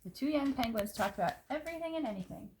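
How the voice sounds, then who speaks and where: A woman reading a children's picture book aloud, in expressive narration with dramatic emphasis on descriptive words. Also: high voice, fast, slight background noise.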